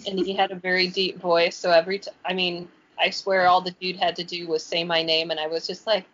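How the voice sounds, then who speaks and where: A woman's voice: fairly low pitch, level tone, a little fast, a bit bright. Candid woman telling dating stories. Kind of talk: casual storytelling chat. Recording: dull lo-fi podcast audio.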